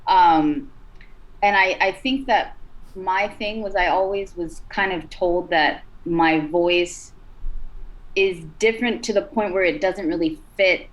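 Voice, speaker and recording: A woman singer with a raspy, rugged voice, chatting as a podcast guest, loose and full of "like" and "you know". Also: lowish voice, takes it slowly, low-quality recording. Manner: relaxed, many fillers like "like" and "you know". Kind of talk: casual interview talk.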